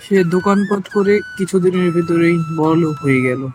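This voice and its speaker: A man's voice: sits high, fairly quick, a little mellow, soft background music. A man reading scripted narration for a cartoon moral story.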